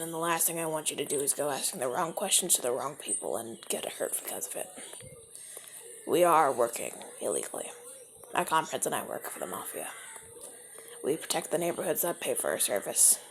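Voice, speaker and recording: A man voicing a suave young mafia enforcer in an ASMR audio roleplay, speaking softly, often in a whisper, and stammering now and then. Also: fairly high pitch, big pitch swings, fairly quick, vocal fry, slight background noise. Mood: earnest, confiding. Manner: soft-spoken, often whispering, stammers when flustered.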